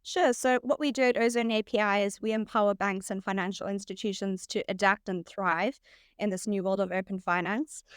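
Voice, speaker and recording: A woman from South Africa with a South African accent, talking as a guest in a relaxed interview. She sounds friendly and upbeat, and her voice is smooth and clear. The recording is dry and close. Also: mid-range voice, dry recording. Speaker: guest, head of marketing. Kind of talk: casual interview chat.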